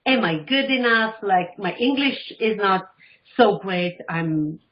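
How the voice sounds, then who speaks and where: A woman's voice: medium pitch, takes it slowly. A middle-aged woman from Israel, a life coach speaking as a radio guest, telling her story with animation and acting out what people said. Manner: animated storytelling, acts out quoted dialogue. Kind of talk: casual interview talk. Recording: dull lo-fi audio.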